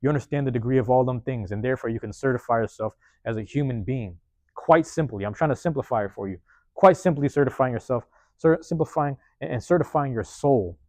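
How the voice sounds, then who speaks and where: Guy rambling motivational talk, street slang, kinda hoarse, dead dry sound. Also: medium pitch, quick pace, a little mellow, dry recording. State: voice going hoarse. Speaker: podcast host. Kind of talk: casual motivational monologue. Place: university room.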